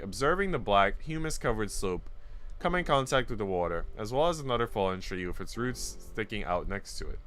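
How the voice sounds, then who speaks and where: A man's voice: on the low side, a bit bright, slight background noise. A man streaming a story read-aloud, with casual, slangy chat to his viewers. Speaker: streamer reading a visual novel aloud. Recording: music playing behind the voice. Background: guitar and instrumental music.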